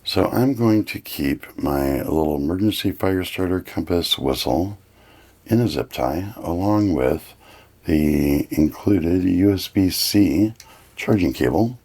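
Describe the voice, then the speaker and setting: A man with a gravelly, husky voice giving a casual, plain-spoken hands-on product review. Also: deep voice, darkish tone.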